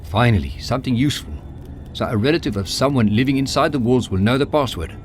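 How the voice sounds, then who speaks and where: A man's voice: mid-range voice, lively intonation, quick pace, slight background noise, lightly acted. An actor playing a blunt, impatient male wanderer in a video game, curt and dismissive. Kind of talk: scripted video game voice acting.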